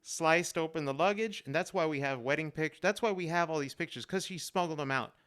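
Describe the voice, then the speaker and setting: A man with a resonant, clean voice that sits a little high. He speaks as a guest on an online panel. His storytelling is earnest and personal, leaning on repeated phrases for emphasis. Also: a little fast, fairly bright tone, clear, resonant tone. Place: remote live-stream video call.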